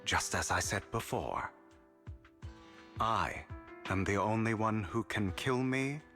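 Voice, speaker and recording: An actor playing a suave, theatrical male con artist in a game cutscene, smooth and dramatic, dropping to a whisper at times. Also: fairly low pitch, lively intonation, slow, pushed and bright, faint music behind, exaggerated voice. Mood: scheming.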